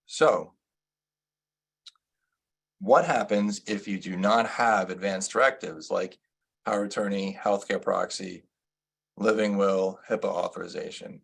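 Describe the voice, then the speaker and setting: Gravelly, husky-voiced male attorney. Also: sits low, a little slow, long pauses. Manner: explanatory, asks his colleague guiding questions. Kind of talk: legal webinar presentation.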